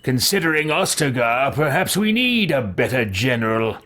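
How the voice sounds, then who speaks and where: A man's voice: medium pitch, very animated, normal speed, bright, forceful tone. A man roleplaying a proud, sardonic male hero, reading game dialogue in a theatrical, declarative way. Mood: haughty, challenging.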